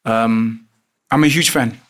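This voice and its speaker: A man's voice: mid-range voice, fairly even intonation, slow, fairly bright tone. A man hosting an interview, talking in an effusive, chatty and admiring way. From South Africa. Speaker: host. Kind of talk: interview conversation.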